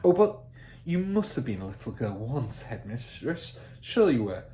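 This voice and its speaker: A man's voice: mid-range voice, sing-song, moderate pace, mellow, slight background noise, close to an everyday voice. A man voicing an earnest, flustered female primary school teacher in a children's storybook, pleading and protesting. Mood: dismayed. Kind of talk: children's storybook character reading.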